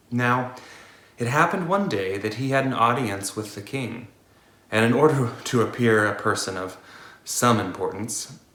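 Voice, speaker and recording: A man's voice: lowish voice, a bit bright, echoey room. A man reading fairy tales aloud in a playful storytelling manner. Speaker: storybook reader.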